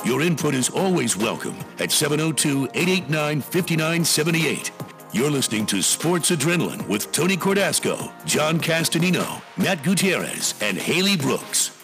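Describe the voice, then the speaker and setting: A man reads a station announcement with an animated, fairly high voice. His delivery is emphatic and announcerly. Faint music sits behind him. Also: moderate pace. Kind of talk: scripted station ID.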